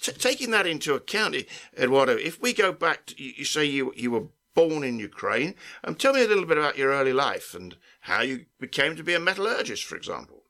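Male interview host, bright gravelly voice, lively pitch, stop-start delivery. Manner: halting, many restarts and stammers.

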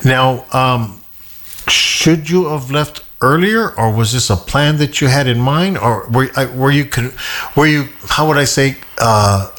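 A man, a pastor, hosting a Sunday church interview, talking haltingly with many fillers and restarts. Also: mid-range voice, a bit bright.

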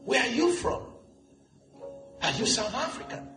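Guy pastor preaching, kinda high voice, laughing between lines, roomy church w music. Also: sing-song, a little slow, bright, forceful tone. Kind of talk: lively sermon.

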